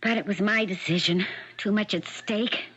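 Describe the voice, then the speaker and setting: Bossy, ambitious wife, dull old-radio sound. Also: female voice, mid-range voice, moderate pace, a bit bright, husky. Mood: scornful, impatient. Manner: bossy, hard-edged, commanding. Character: domineering, ambitious wife, shrewd and demanding. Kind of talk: 1970s radio mystery drama acting. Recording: dull, band-limited 1970s radio broadcast.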